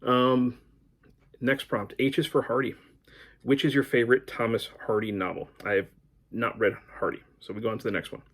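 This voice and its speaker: A man's voice: mid-range voice, fairly even intonation, moderate pace, a bit bright. A middle-aged American man chatting casually as a book vlogger, in a rambling, conversational way. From United States. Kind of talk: casual chat to camera, answering book prompts.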